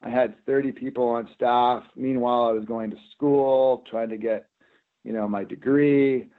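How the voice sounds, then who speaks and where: A man's voice: medium pitch, fairly even intonation, moderate pace. A middle-aged man, an executive answering interview questions conversationally. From Canada, Alberta prairies. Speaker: interview guest, company executive. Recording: narrow, tinny phone-line sound.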